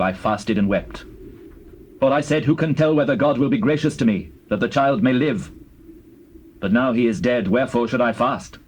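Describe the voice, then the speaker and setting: A man's voice: mid-range voice, slight background noise, close to an everyday voice, rough recording. A man playing a passionate ancient king in a scripture drama, with grave, theatrical delivery.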